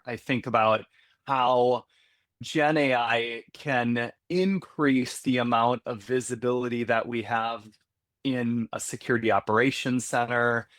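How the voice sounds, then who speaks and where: A man with a middle-pitched voice speaks candidly as a podcast guest, working through his thoughts out loud and sometimes restarting a phrase. The recording is dry and close, with no room sound. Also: no echo. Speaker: healthcare security executive, podcast guest. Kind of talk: conversational interview.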